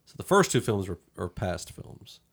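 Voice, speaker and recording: A man with a fairly low voice hosts a casual movie discussion. He talks conversationally and states his opinions plainly, as a podcast host among co-hosts. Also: big pitch swings, moderate pace, gravelly, a bit bright.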